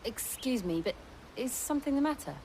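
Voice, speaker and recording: A woman's voice: high-pitched, animated, a little fast, a little mellow, a little hiss or hum, rough recording. An actress playing a composed, polite and determined young woman in a fantasy video game. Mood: inquiring. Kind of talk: video game cutscene acting.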